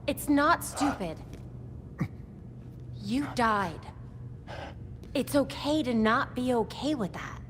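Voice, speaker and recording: A woman's voice: a little high, lively intonation, slow pace, pauses often. A woman playing a caring, upbeat young crimefighter in a video game, talking naturally and reassuringly. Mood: gently reassuring. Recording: faint background noise.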